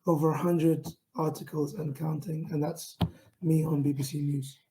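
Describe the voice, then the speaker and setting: A man with a London accent giving an online talk to camera, speaking in a steady, self-described monotone with frequent fillers and restarts. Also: fairly high pitch, rough recording. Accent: London English. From England. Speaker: founder giving a pitching talk.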